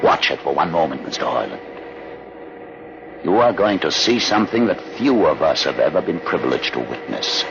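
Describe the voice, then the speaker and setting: An actor playing a learned, commanding older rebel aboard a starship in a radio drama, speaking in a deliberate, theatrical, condescending way. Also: male voice, very deep voice, big pitch swings, creaky, heavily acted. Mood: grave, masterful. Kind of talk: old-time radio drama acting. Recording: muffled, noisy old radio recording.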